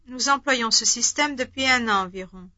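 A woman giving the model answers in a language drill, measured and carefully articulated. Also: on the high side, pushed and bright. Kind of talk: reading drill responses. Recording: dull lo-fi tape recording.

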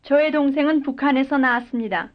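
A woman's voice: high-pitched, a little fast. A woman speaking full model sentences in a language drill, each one carefully and evenly delivered. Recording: dull lo-fi recording.

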